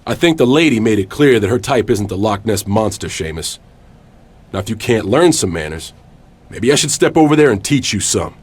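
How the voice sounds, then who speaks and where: A man's voice: lowish voice, animated, normal speed, husky. A man playing a brash, fearless hero wrestler with a sarcastic manner in a video game cutscene. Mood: defiant. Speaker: lead wrestler character. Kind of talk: scripted game cutscene dialogue.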